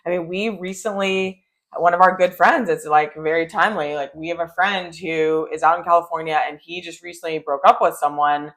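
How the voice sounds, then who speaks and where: A woman with a low voice for a woman, co-hosting a casual chat and talking freely with many fillers. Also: fairly even intonation, brisk, fairly bright tone. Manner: chatty, frequent "like" and "you know". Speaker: co-host.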